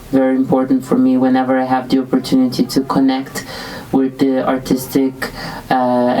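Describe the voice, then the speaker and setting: A woman's voice: extremely low pitch, dark tone, recorded from a distance, low-quality recording. A woman interviewing a guest, asking long questions with many hesitations. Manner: hesitant, many fillers and restarts.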